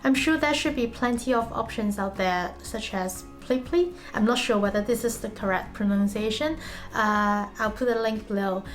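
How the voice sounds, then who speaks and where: Woman, Cantonese native, vlogger, earnest chatty delivery, fairly high voice, soft music underneath. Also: moderate pace. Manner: earnest, chatty, self-referencing. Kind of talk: casual explanatory vlog.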